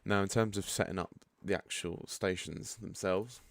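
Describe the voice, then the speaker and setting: Croaky deep-voiced man explaining software. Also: normal speed, no echo, studio quality. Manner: relaxed and chatty, frank asides. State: croaky, just woken up. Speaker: product developer giving a setup tutorial. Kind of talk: casual screen-share walkthrough.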